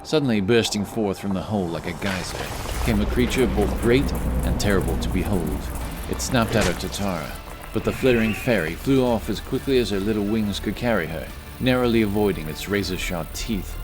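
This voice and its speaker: A man's voice: lowish voice, fairly quick, music in the background. A man reading scripted, dramatic narration for a fantasy adventure story.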